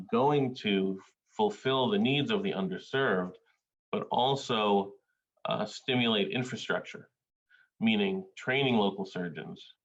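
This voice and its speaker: A man from Bangladesh presents to a panel over a video call. His voice sits low, and he speaks with many pauses and filler sounds. The audio is dull and lo-fi. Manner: hesitant, many filler sounds, measured delivery. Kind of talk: academic presentation.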